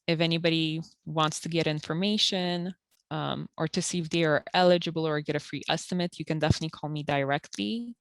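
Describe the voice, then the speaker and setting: A woman who works at a community health center, calmly explaining eligibility rules and documents step by step with frequent fillers. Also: sits low, a little fast, gravelly, clean tone, no room sound. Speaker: health center benefits counselor. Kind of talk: informational interview.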